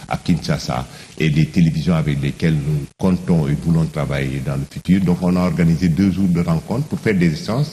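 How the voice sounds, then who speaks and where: A man with a very low, mellow voice answers a TV interviewer calmly. He talks thoughtfully and pauses on fillers. His tone stays even and composed. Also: slight background noise. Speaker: journalist, studio guest.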